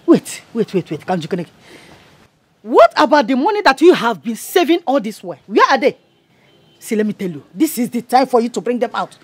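A woman's voice: fairly high pitch, very animated, brisk, breathy edge. An actress playing an exasperated middle-aged Nigerian wife and mother, arguing back in a film melodrama.

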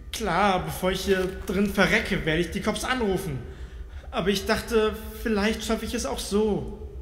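An actor playing an agitated, irritable young man trapped in a cellar in an audio drama, halting, pleading and cursing. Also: sits high, fairly bright tone, heavily acted. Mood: stressed, irritated. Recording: roomy long reverb with noise.

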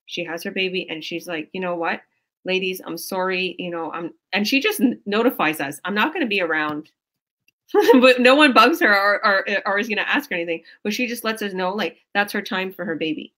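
A woman's voice: medium pitch, fairly quick, fairly bright tone. Effusive woman on a video call. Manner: effusive, rambling, lots of restarts. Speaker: business coach hosting a team video call. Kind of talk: casual motivational chat. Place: small indoor room at home. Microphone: video call webcam.

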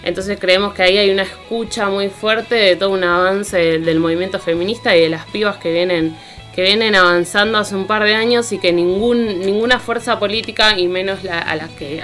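A woman's voice: medium pitch, fairly bright tone. An Argentine woman giving informal political commentary as a columnist on a radio show. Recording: music bed under the voice. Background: music.

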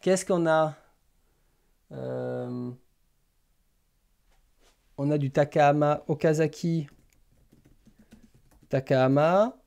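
A man hosts a casual live stream. His voice sits a little high, with a soft, relaxed tone and plenty of gravelly fry. He thinks aloud hesitantly as he works at a keyboard. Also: drawn-out words, pauses often. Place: small indoor room lined with bookshelves.

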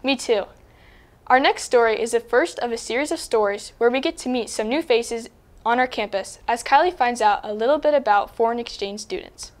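A freshman girl with a slightly high voice co-anchors school news. She reads steadily and keeps it plain. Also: fairly quick. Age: teens.

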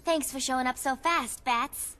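A mischievous, gushing young clown sidekick from a video game. Her voice is very high and piercingly bright, with a cutesy, perky delivery. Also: normal speed. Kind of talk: video game cutscene acting.

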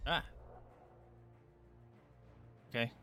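A man's voice: drawn-out words, sharp tone. Guy streaming a game, casual rambly chat, game music behind him. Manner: informal, rambling asides to viewers.

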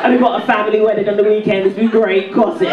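A woman's voice: medium pitch, fairly even intonation, fast, very noisy. A young woman from Barbados with a British accent, doing a stand-up set on a small club stage into a handheld mic, with a punchy delivery and teasing the crowd. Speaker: stand-up comedian. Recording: roomy club sound, far and dull. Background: loud crowd laughter.

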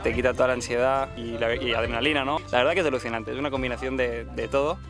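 A man's voice: medium pitch, steady tone, quick pace. A young man from Barcelona with a Spanish accent from Spain, speaking casually in an outdoor interview. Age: young adult. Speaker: interviewed amateur mountain biker. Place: outdoors on a dirt bike trail. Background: music.

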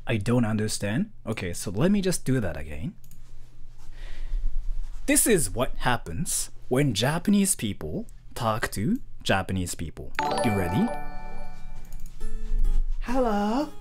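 A young man chatting casually on a livestream in a small bedroom, swinging from loud to muttering. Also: lowish voice, wildly swooping pitch, a little slow, faint music behind. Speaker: streamer.

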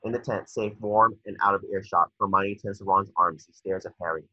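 Husky guy reading screenplay directions, bright forceful tone, trips on words, lo-fi call audio. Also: deep voice, steady tone, a little fast, no room sound. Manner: stumbles over words. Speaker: narrator reading stage directions. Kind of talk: scripted narration at a table read. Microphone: video call. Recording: dull lo-fi video call audio.

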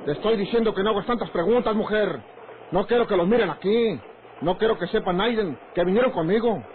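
Actor as high-voiced, bossy Mexican ranch man. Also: fairly quick, lightly acted. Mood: irritated, threatening. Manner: gruff, rustic, threatening. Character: bossy, short-tempered ranch man keeping secrets from his woman. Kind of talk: old radio drama acting. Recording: noisy, tinny old radio recording.

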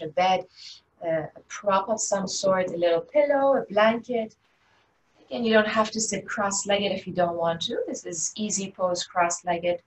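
A woman teaching a guided meditation in a gentle, unhurried, inviting way. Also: medium pitch. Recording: distant mic with faint background noise.

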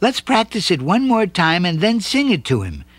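A man voicing a cheerful, eager little girl with bright, excited chatter. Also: high-pitched, moderate pace, breathy edge, no echo, voice pushed up, heavily acted.

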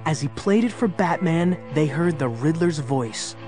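A dramatic storyteller for a superhero adventure. His somewhat high voice delivers polished, urgent narration, with music clearly playing behind him. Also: animated, unhurried.

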